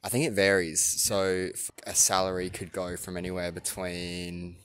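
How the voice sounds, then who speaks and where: A man's voice: low voice, little pitch movement, moderate pace, a bit bright, slight background noise. A young man with an Australian accent, a university student thoughtfully answering interview questions outdoors on campus. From Adelaide, Australia. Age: young adult. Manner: thoughtful, hesitant with ums.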